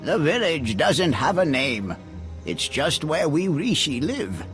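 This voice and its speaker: High, swooping alien villager. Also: male voice, very animated, slow, a bit bright, soft background music, exaggerated voice. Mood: gently explaining. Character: easygoing, courteous male alien villager, helpful and philosophical. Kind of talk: video game cutscene acting.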